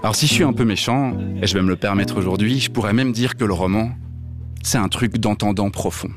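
A man's voice: lowish voice, a little fast, lightly acted. A man playing a deaf man who dislikes reading novels, speaking a blunt scripted radio monologue over music. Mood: earnest. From Switzerland. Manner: blunt, mildly provocative, direct address. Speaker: radio columnist.

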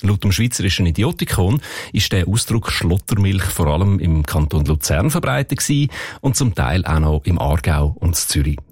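A man's voice: sits low, expressive, normal speed, darkish tone, husky, dry recording, clean studio sound. A man with a Swiss German accent explaining as a dialect expert in a radio segment.

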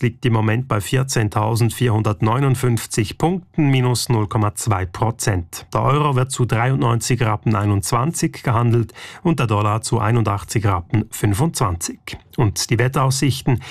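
A man's voice: medium pitch, no echo. A man reading scripted radio news as a presenter. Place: radio studio.

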